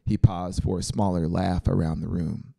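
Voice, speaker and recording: A man's voice: deep voice, fairly even intonation, moderate pace, gravelly, mellow. A man in his thirties narrating a scripted story as an audiobook reader, close on the microphone. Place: small brick-walled home studio. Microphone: close dynamic mic on a boom arm.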